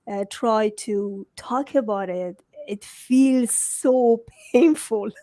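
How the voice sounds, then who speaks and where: A Persian-accented woman, native in Farsi, hosting a close, dry-sounding book conversation in a lightly pitched voice. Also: slow pace, dark tone, no room sound. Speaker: host, book coach. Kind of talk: conversational interview.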